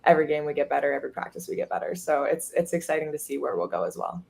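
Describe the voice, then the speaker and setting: Upbeat young woman with a gravelly edge. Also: low-pitched, very animated, rapid-fire, a little mellow. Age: 20s. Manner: upbeat, many fillers like "um" and "like". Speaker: guest, college goalkeeper. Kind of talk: casual podcast interview.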